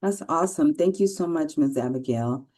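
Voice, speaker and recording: A woman's voice: sits low, lively intonation, moderate pace, dark tone. A woman moderating an online panel, thanking and praising each speaker in an encouraging way. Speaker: webinar moderator.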